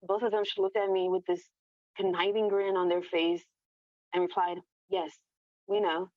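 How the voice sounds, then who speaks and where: A woman's voice: lowish voice, level tone, normal speed. A woman reading her own first-person story aloud as a narrator, in an echoey, muffled recording. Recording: echoey room, dull lo-fi sound.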